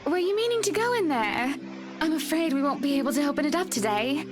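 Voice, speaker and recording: A high-pitched, polite young-sounding wife speaks in a reflective, wistful way. She is a visual novel character with a quiet discontent under her courtesy. Music plays clearly behind her. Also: animated, fast. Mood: polite, apologetic. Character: wistful married woman, polite, quietly discontented new homeowner. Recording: game voice over background music.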